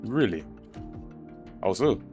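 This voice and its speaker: Low-voiced man casually commenting on a game. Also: sing-song, slow. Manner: musing aloud, slangy. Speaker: gaming streamer. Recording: faint game music behind. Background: game music.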